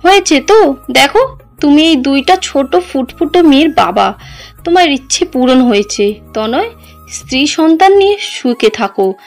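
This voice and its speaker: Woman doing heartbroken cheated-on wife, proud, emotional audio drama, really high swoopy voice. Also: sing-song, moderate pace, soft background music. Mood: bitter resignation. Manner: emotional, pleading. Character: betrayed, heartbroken young wife, anguished and proud. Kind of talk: dramatised audio story dialogue.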